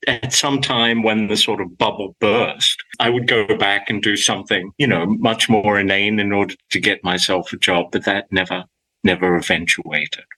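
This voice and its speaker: A man's voice: lowish voice, fairly even intonation, moderate pace, bright, forceful tone, slightly rough, low-quality recording. A man in his sixties with an Australian accent, who grew up speaking Greek, answering interview questions in a thoughtful, hesitant way with many repeated words. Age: 60s. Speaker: guest professor.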